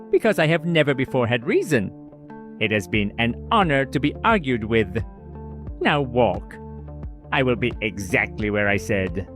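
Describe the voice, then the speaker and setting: A man acts an old guardian in a scripted audio drama, solemn and devoted. His speech is formal and ceremonious, with very wide swings in pitch. Music plays clearly behind him. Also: a bit bright.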